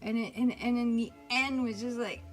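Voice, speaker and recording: A woman's voice: a little high, steady tone, moderate pace, music in the background. A woman from Miami co-hosting a podcast, chatting casually, swearing freely and drifting into asides. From Miami, Florida. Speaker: podcast co-host. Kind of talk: casual chat.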